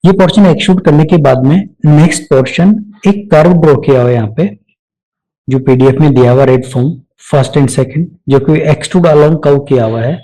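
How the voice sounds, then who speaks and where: A man giving a step-by-step software tutorial off the cuff, mixing English technical terms into his speech. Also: fairly high pitch, brisk, poor audio. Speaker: software instructor.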